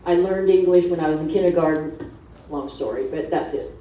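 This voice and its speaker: A middle-aged woman from Honduras giving an informal, animated personal talk to an audience as a fitness instructor. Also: sits low, fairly quick. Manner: animated, conversational storytelling with acted-out asides. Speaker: fitness instructor on a seminar panel. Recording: distant mic in echoey room, dull sound.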